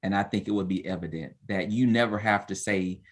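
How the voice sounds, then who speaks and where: Male host, lowish voice, encouraging interviewer asking open questions. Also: a little fast. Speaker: podcast host. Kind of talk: interviewing, casual chat.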